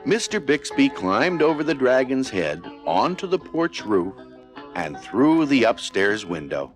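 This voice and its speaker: A man's voice: medium pitch, very animated, measured pace. A man narrating a children's read-along storybook in a scripted style. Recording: music playing behind narration.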